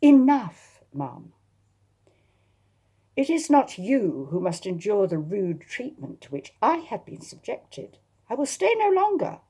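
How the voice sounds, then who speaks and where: A woman's voice: mid-range voice, very animated, takes it slowly, dark tone, breathy edge, voice pushed up, exaggerated voice. A woman voicing a proud, exasperated genteel lady sleuth, speaking formally and defiantly in a dramatised historical novel. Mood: indignant.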